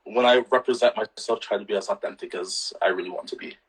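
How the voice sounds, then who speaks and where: Husky relaxed man chatting as a guest. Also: on the low side, quick pace, rough recording. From Canada. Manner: relaxed, laughing often, many fillers. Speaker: guest singer. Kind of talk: casual interview chat.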